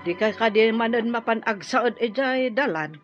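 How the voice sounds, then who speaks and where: A woman's voice: on the high side, animated, moderate pace, muffled recording, soft background music. A woman playing a nagging, bossy figure in an Ilocano radio drama, pressing with questions, with an Ilocano accent.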